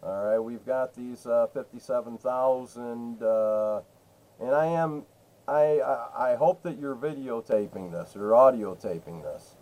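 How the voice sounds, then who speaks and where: A man's voice: medium pitch, a little slow, darkish tone, poor audio. A man making an insistent phone complaint, laying out accusations in an emphatic, repetitive way and cutting in. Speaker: caller to a state senator's office.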